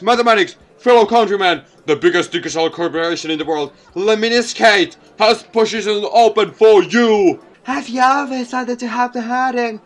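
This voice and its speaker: A man's voice: extremely high pitch, a bit bright, voice pushed up. A man voicing a chirpy, over-eager recruitment advertiser with an exaggerated salesy manner.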